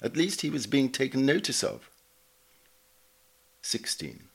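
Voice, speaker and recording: A man's voice: medium pitch, wildly swooping pitch, a little slow, creaky, breathy edge. A man reading written notes aloud as a scripted narrator, dramatising the recollections as he goes.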